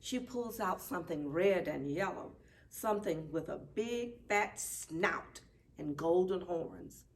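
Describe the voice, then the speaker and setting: A woman reading a children's picture book aloud at story time, expressive and deliberate. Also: on the low side. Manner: deliberate, animated. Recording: distant mic, faint background noise.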